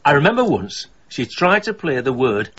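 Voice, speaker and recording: A man's voice: on the high side, big pitch swings, moderate pace, fairly bright tone, heavily acted. An actor playing a lonely, grieving older widower in a radio drama, homely and wistful in his way of talking. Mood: fond, chatty. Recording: slightly dull, clearly noisy recording.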